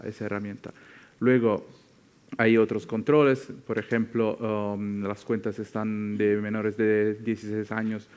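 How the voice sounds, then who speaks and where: A man from Italy with an Italian accent, speaking a second language as a panelist, explaining things off the cuff and often pausing to search for words. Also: on the low side, darkish tone, clear, resonant tone. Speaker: tech company representative on a panel.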